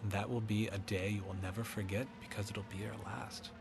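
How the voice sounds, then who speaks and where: Man performing a sinister male killer, unrepentant, rather low voice, glib menace, horror monologue. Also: fairly even intonation, brisk, vocal fry, noisy background. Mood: hushed threat. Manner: coldly casual, sinister, darkly glib. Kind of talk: first-person horror monologue.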